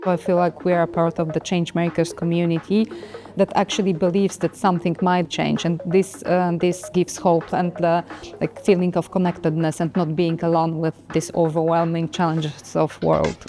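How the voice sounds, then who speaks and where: A woman's voice: fairly low pitch, little pitch movement, moderate pace, warm tone, soft background music. A woman speaking as an event organizer in an interview. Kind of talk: interview testimonial.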